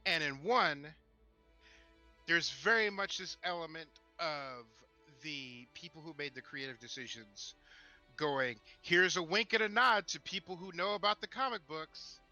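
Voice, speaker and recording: High pitched guy, super bright sharp voice, emphatic and stammery, casual co-host rant. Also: a little slow, highs cut off, faint music behind. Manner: emphatic, stammering repeats as he builds a point. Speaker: show co-host. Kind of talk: animated casual opinion and discussion.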